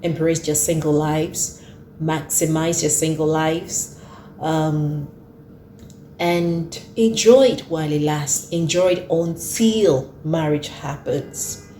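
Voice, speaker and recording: Low, slow-speaking woman in her thirties. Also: mellow, roomy sound, a little hiss or hum, low-quality recording. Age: 30s. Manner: earnest, repeats points for emphasis. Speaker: host. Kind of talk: casual talk to camera.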